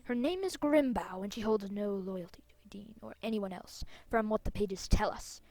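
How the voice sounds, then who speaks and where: A woman playing a concerned leader of fantasy riders in a game, measured and formal with an ominous manner. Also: medium pitch, a little mellow, dry recording, close to an everyday voice. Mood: grave, concerned.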